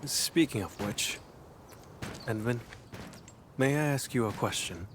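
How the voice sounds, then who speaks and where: An actor playing a brooding, solemn male warrior in a video game, earnest and devoted, speaking in measured, formal, hushed and intimate phrases. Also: mid-range voice, lively intonation, a little hiss or hum. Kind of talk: video game story dialogue acting.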